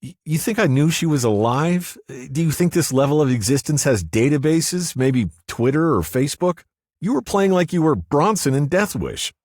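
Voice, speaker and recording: A sharp-tongued ghostly woman, once a gym teacher, voiced by a man in an audiobook. Her voice sits well above the reader's own, husky and swinging in pitch as she teases. The read is dry and close. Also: lively intonation, no echo. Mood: exasperated. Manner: teasing, quick, sharp-tongued. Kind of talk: audiobook character voice.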